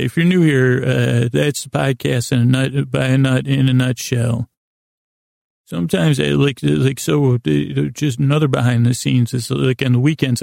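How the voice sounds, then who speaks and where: A man hosting a sleep podcast in a creaky, gravelly voice, rambling haltingly and nonsensically with apologetic, self-deprecating asides, recorded in a small closet. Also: medium pitch, darkish tone, no room sound, clean studio sound. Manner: halting, drawn-out, meandering delivery with repeated self-interruptions. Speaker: podcast host.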